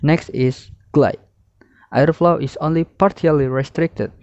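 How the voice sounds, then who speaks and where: A man's voice: a little high, measured pace, a little mellow, dry recording. A young man reading a lesson presentation aloud as a student presenter, in a methodical way. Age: young adult. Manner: methodical, listing term then definition. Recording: dry, slightly dull lo-fi recording.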